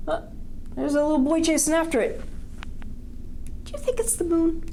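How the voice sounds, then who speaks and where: A woman's voice: high voice, moderate pace, dark tone, a little hiss or hum. A woman reading a picture book aloud to young children, expressively, with questions and asides to her listeners.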